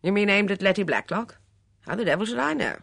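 A woman reader acts out a brusque, commanding countrywoman in an audiobook. She is blunt and loud, with clipped, no-nonsense phrasing. Her pitch moves around boldly in a close, dry recording. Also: expressive, fast, creaky, no room sound. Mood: brusque, matter-of-fact. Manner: blunt, bellowing, clipped upper-class slang.

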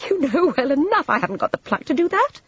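A woman voicing a shrill, nervous, sneering and craven younger woman in a dramatised storybook reading. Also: expressive, measured pace, husky, exaggerated voice. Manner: bitter, rattled, sharp short laugh. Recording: roomy, slightly dull audiobook recording.

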